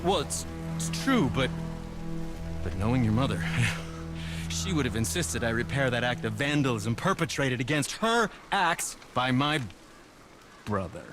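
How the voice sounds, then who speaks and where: An actor playing an awkward, polite dwarf craftsman in a video game, speaking in a nervous, halting, courteous way. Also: mid-range voice, big pitch swings, over loud music. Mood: nervous, insistent. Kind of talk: dramatic video game character acting.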